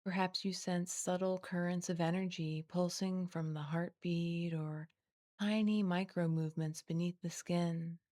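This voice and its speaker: Female meditation guide, slightly low voice, soothing and unhurried, very level intonation, close dry recording. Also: steady tone, no room sound. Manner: gentle, soothing, unhurried delivery with long pauses. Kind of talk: guided body scan meditation, scripted and slowly spoken.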